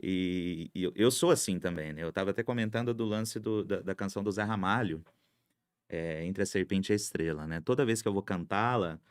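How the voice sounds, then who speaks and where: Low-voiced Brazilian male host, close sound. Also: fairly quick, clean tone, no room sound. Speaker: podcast host, interviewer. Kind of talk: casual conversational interview.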